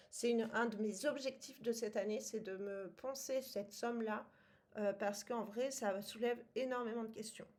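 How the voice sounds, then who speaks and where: Woman co-host, higher voice, halting and chatty. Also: darkish tone. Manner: hesitant, many fillers, laughs often. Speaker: podcast co-host. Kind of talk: casual book-club chat.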